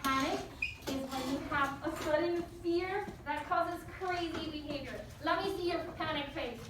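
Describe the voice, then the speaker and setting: A woman with a high-pitched voice leads children through a lesson as their teacher. She prompts them with questions and encourages their answers. The recording is very echoey and distant, with clear noise behind her. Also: normal speed. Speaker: elementary school teacher. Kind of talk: interactive read-aloud lesson to children. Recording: echoey, noisy, distant mic.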